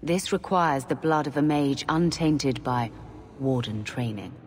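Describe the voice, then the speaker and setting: A woman's voice: rumbling low, a little slow, darkish tone. An actress playing a formal, assured and zealous woman mage who leads a warden order in a fantasy game. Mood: grave, persuasive. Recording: long hall reverb, faint ambient noise.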